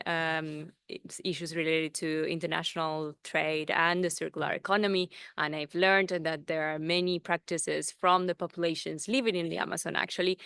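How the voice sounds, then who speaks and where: A woman's voice: lowish voice, gravelly, fairly bright tone, clear, resonant tone, dry recording, clean studio sound. A young woman, politely and appreciatively asking a question from a conference audience. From Argentina. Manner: appreciative, courteous. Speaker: doctoral student asking from the audience.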